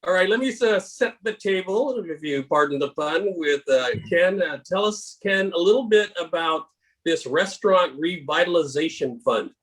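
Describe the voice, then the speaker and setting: A man's voice: high voice, normal speed, fairly bright tone, husky, poor audio. A man hosting a video-call interview show, friendly and casual, with frequent hesitations. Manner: friendly, many filler uhs. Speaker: host.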